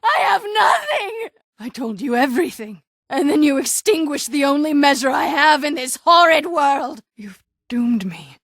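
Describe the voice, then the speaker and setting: A bitter, defiant witch from a dramatic video game. Her voice sits a little high, sardonic and weary of the world. The sound is dry and close, with hardly any room around it. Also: sing-song, measured pace, a bit bright, no echo, exaggerated voice. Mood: anguished, screaming. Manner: sardonic, world-weary, pleading when threatened. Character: bitter, defiant witch, self-described old hag.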